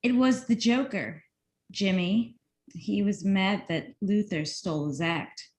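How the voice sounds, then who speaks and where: A woman playing a sharp-tongued newspaper reporter in a script table read, with a sardonic manner. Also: medium pitch, lightly acted. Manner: sardonic, quick-witted.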